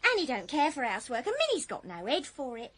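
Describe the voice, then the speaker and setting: Eager young Englishwoman, very high-pitched. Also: big pitch swings, fast, heavy background noise. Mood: excited, tender. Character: eager, sentimental young working-class woman being courted. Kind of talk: radio drama acting.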